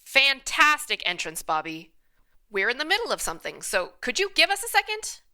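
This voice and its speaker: A woman's voice: high voice, wildly swooping pitch, normal speed, pushed and bright, exaggerated voice. An actress playing a haughty, sarcastic female manager in a radio play, with a withering, ornate way of speaking. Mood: irritated. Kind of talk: radio drama acting.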